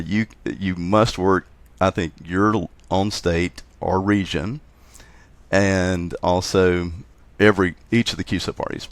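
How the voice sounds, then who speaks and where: A man with a deep voice talks casually as an interview guest. He is halting and repeats words, and the recording is very dry, free of room sound. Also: no echo. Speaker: interview guest, contest organizer.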